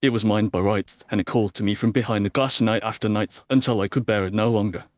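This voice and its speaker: Man acting intense, fanatical young gentleman villain. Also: fairly low pitch, steady tone, quick pace, no room sound, studio quality, natural voice. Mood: bitter, obsessed. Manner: intense, impassioned, florid.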